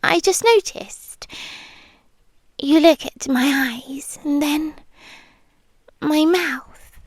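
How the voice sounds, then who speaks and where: A British woman playing a sweetly menacing, possessive vampire girlfriend in an ASMR roleplay, speaking in coaxing, breathy whispers with pauses, close to the microphone. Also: high-pitched, dry recording. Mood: softly probing. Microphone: close microphone, dry.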